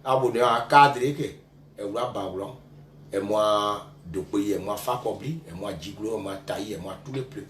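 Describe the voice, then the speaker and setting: A man's voice: fairly low pitch, moderate pace, a bit bright. A man from western Ivory Coast, a guest giving an address in short, repeated phrases. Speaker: guest on a tribute show. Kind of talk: address in a local language. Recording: echoey, noisy room.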